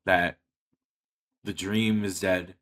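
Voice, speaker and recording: A man's voice: sits low, steady tone, very slow, a bit bright. A man of thirty speaking earnestly and with heavy swearing in a personal monologue to his online audience. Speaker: online video creator making comic dubs and skits.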